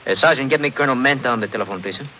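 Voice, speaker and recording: Courteous military captain acted by a man, fast, sharp delivery, slightly hissy vintage radio recording. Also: mid-range voice, close to an everyday voice, low-quality recording. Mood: businesslike. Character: courteous, businesslike foreign army captain. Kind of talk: 1950s radio adventure acting.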